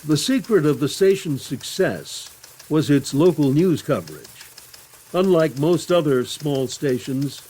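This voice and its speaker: A man's voice: a little high, lively intonation, a little slow, dark tone, slightly rough, low-quality recording. An older man narrating a documentary in a reminiscing, scripted style, as a former radio announcer.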